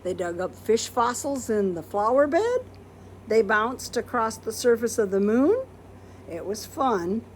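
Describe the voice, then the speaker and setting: A woman's voice: on the high side, darkish tone, slight background noise. A woman reading a children's picture book aloud expressively, acting out quoted words and putting questions to listeners. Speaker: storybook reader.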